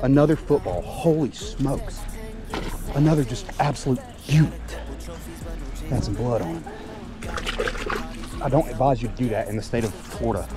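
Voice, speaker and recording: A young man vlogging while fishing outdoors by the water, excitable and quick to laugh. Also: medium pitch, over music. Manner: excitable, shouting and laughing at catches.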